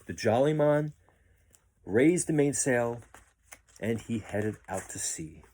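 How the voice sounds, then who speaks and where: A man reading a children's picture book aloud as a storyteller, with expressive, deliberate phrasing. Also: medium pitch.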